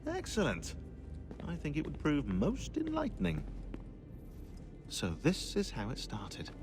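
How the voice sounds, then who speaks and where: A man's voice: fairly high pitch, wildly swooping pitch, a little slow. A man voicing a bookish, sarcastic young British historian in a video game, pedantic and emphatic in conversation. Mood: wry, instructive. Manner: wry, pedantic, emphatic storytelling. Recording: music playing behind the voice.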